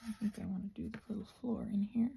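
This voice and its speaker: A soft-spoken woman chatting casually and musing aloud as a craft vlogger, often slipping toward a whisper and gently reassuring herself. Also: mid-range voice, steady tone, fast, vocal fry, background noise. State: short on sleep. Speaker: craft vlogger and ASMR host. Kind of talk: casual rambling craft chat.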